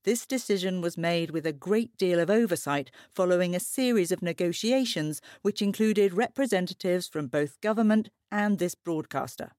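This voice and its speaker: A woman plays a serious television news anchor in an audio drama. She is composed, formal and measured, with a lowish voice in a dry, close recording. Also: darkish tone, dry recording, natural voice. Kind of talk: broadcast news presenting.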